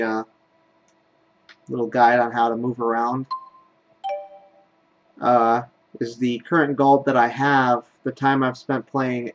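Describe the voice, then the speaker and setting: A man's voice: mid-range voice, slight background noise, low-quality recording. Casual man doing let's play commentary. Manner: thinks aloud while playing. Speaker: gaming video host.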